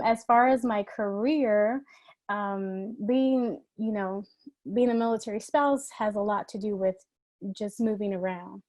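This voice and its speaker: A woman's voice: mid-range voice, a little mellow, no room sound. A woman in her thirties, born in Ecuador and raised in New York City, talking in a chatty, friendly way as an alumni panelist and laughing between remarks. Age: 30s. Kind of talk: casual panel talk.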